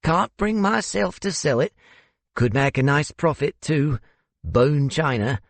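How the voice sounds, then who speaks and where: A man voicing a courteous, wistful older gentleman with a South African accent and a theatrical, hesitant manner in an audiobook. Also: fairly high pitch, lively intonation, a little slow, gravelly, dry recording, voice pushed up. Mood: modest, sentimental. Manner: theatrical, hesitant, old-fashioned politeness.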